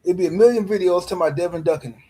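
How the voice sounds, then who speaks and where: Male stream host, slightly high-pitched, loose teasing delivery, rambling casual talk. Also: racing, mellow, slightly rough. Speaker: boxing livestream host. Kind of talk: casual live-stream commentary.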